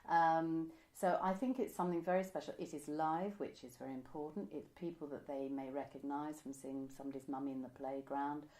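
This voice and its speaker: A woman's voice: deep voice, steady tone, fairly quick, hardly any pauses, darkish tone. A woman. She talks informally as an interviewee, looking back chattily and often correcting herself as she goes. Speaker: interviewee, amateur pantomime writer. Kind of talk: casual reminiscing interview. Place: indoor room by a window, seated.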